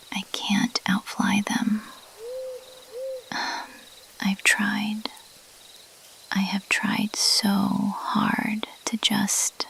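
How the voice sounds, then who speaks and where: A woman voicing a weary, guarded bat-winged monster girl in a halting, hushed, confessional ASMR roleplay whisper. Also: mid-range voice, creaky, a little hiss or hum.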